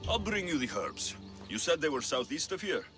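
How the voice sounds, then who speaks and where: A man playing a hardened young Greek mercenary in a dramatic video game, gruff, terse and intense in his delivery. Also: a little high, sing-song, moderate pace, music in the background. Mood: wry, businesslike. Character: hardened young Greek mercenary, skeptical, driven to protect family.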